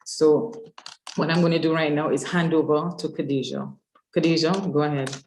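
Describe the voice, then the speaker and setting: Webinar host, woman, low register, measured semi-formal speech with hesitant pauses, echoey room sound. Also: steady tone, darkish tone, slight background noise. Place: indoors on an online webinar call. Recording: roomy, echoey webinar audio.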